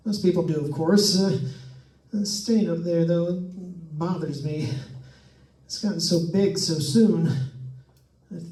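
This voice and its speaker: A man's voice: high voice, darkish tone. A man playing a nervous, chatty radio host alone in a haunted house, stammering and rambling in an old-time radio suspense style. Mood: uneasy. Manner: stammering, jittery, rambling with forced cheer. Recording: echoey room, distant microphone.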